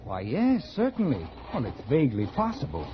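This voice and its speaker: Scheming American writer-narrator, articulate and dramatic, wide-ranging delivery, muffled 1940s radio sound. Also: male voice, mid-range voice, huge pitch swings, normal speed, over loud music. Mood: curious. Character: ambitious, scheming American male writer narrating his confession.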